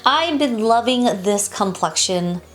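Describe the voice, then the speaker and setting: A young woman with a higher voice reviews her monthly beauty favorites in a chatty, upbeat way. She sounds nasal and stuffed up from allergies, and faint music plays behind her. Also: lively intonation, slow, a bit bright. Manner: chatty, upbeat, repeats and restarts phrases. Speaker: beauty vlogger. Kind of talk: casual product review to camera.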